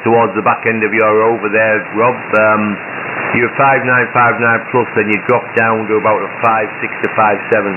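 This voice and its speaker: A man chatting casually as a ham radio operator, hesitant and repeating words, over a shortwave radio link. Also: fairly low pitch. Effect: shortwave radio transmission. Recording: tinny narrow-band radio, loud hiss and static.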